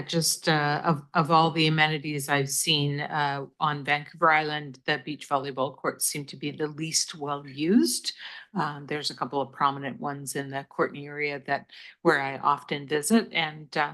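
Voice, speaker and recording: Low-voiced woman councillor. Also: fairly bright tone. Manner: casual, direct questioning. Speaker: city councillor. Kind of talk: council question and comment.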